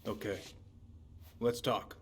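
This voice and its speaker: An actor playing a gruff, weary middle-aged American lawman in a naturalistic game drama. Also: takes it slowly, lightly acted. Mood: weary, regretful. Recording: noisy game capture with hum.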